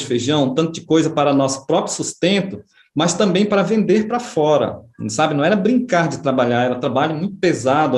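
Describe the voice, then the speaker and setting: Man, middle-aged, from Brazil, reflective storytelling, tag questions, slightly raised pitch. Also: darkish tone. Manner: unhurried, with frequent tag questions and small restarts. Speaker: interviewee, writer and history professor. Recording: echoey room.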